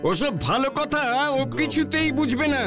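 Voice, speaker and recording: A man's voice: extremely high pitch, animated, moderate pace. A man voicing an exasperated, strict middle-aged father in a children's cartoon, insistent and complaining. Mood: fed up. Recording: children's music behind the voice.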